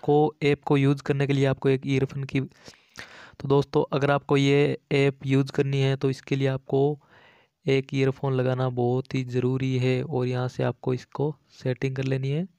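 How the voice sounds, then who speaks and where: A man's voice: mid-range voice, completely flat delivery, a little slow, warm tone, smooth, dry recording. A man giving a casual, repetitive step-by-step app tutorial as a voice-over, addressing viewers as friends. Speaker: tech tutorial YouTube host.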